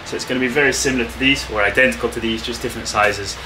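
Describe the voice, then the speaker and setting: A man in his thirties talks through his project to a camera held at arm's length, relaxed and explanatory, with plenty of small fillers. His voice sits in an ordinary middle register. A steady hum of machinery and air conditioning runs clearly under the camera audio. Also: mid-range voice, very fast. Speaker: boat restoration vlogger. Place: open-sided wooden workshop. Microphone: handheld camera at arm's length.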